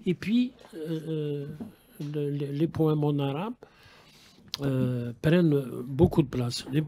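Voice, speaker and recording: A man's voice: a little high, slow pace, warm tone, a little hiss or hum. A man hosting a radio interview, asking long, rambling questions with many halts and restarts. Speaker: radio host.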